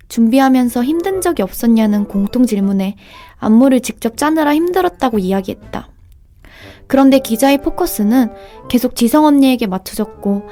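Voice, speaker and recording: Young actress as a sulky idol dancer, high voice, light music bed, audio drama narration. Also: female voice, close to an everyday voice. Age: young adult. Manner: sulky and sharp.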